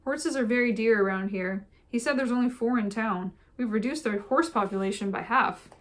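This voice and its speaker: A woman's voice: on the high side, normal speed, natural voice. A woman voicing a young man who jokes ruefully about spending all their money.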